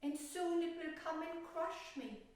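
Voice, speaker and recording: A woman's voice: extremely high pitch, unhurried, a little mellow, pitched above the performer's own voice. A woman voicing a small, timid violet flower in a children's story, hesitant and full of wonder. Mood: wondering, afraid. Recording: very echoey room, distant microphone.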